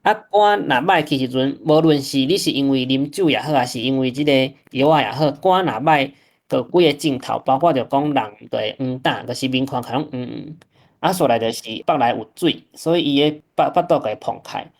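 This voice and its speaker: A man guest giving calm explanatory commentary. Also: mid-range voice, fairly quick.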